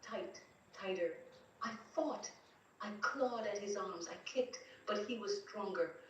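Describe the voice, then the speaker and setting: A woman voicing a terrified, grieving wife, telling her story in slow, dramatic first-person narration. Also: mid-range voice, lo-fi sound, close to an everyday voice. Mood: haunted, fearful. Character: terrified, grieving young wife recounting abuse from beyond death. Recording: distant, very echoey, faint noise.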